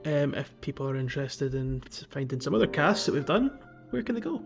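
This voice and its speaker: A man hosting a podcast in a casual, chatty style, speaking in a slightly halting way. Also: medium pitch, big pitch swings, vocal fry, highs cut off, faint music behind. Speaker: podcast host. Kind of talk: casual podcast chat about a video game.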